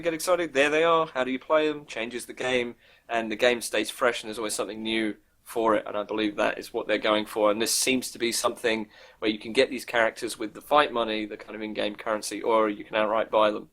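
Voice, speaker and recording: A man's voice: medium pitch, fairly bright tone. A man co-hosting a casual, unscripted gaming podcast, informal and jokey, with loose language. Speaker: co-host presenting video game news.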